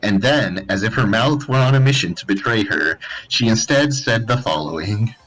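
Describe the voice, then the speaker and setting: A man's voice: fairly low pitch, animated, moderate pace, fairly bright tone, low-quality recording. A man narrating fan fiction as a live dramatized comedic reading.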